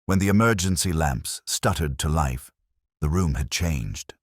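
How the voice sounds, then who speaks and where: An actor playing a melancholy, intellectual English detective who narrates his case in measured, literary first person, as in a radio mystery. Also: extremely low pitch, expressive, no echo, clean studio sound, full character voice. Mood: brooding. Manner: measured, brooding, ornate phrasing.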